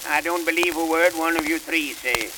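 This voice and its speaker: An actor playing an impatient small-town judge who runs his court briskly. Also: on the high side, lightly acted. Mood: stern. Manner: brisk, no-nonsense. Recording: hissy, echoey old record.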